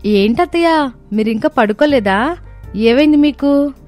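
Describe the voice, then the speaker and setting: A woman's voice: high voice, animated, a little slow, a little mellow, soft background music. A woman voicing a young, practical daughter-in-law in a cartoon story, with an emphatic manner. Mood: concerned.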